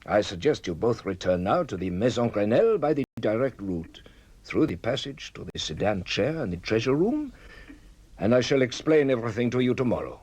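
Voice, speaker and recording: An actor playing a shrewd, courteous police detective in a radio drama, a husky voice and a formal, measured, theatrical manner. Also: male voice, medium pitch, big pitch swings, a little mellow. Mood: gentle, apologetic.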